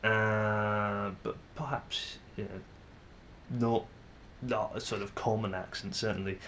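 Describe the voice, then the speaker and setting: A man's voice: lowish voice, fairly even intonation, takes it slowly, fairly bright tone, a little hiss or hum. A man in his twenties from the Manchester area of northern England, with a northern English accent, talking in a rambling, self-deprecating way to camera with many restarts. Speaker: vlogger. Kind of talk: casual monologue to camera.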